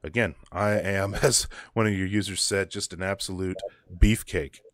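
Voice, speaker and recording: A young man with a very deep voice talking casually as a guest, thoughtful and halting with frequent restarts. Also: fairly even intonation, no echo, studio quality. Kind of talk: casual conversation.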